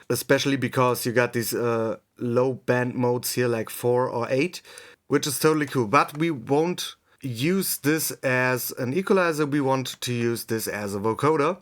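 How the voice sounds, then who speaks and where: Slow-paced man giving a software tutorial. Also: mid-range voice. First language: German. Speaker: music production tutorial host. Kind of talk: unscripted software tutorial narration.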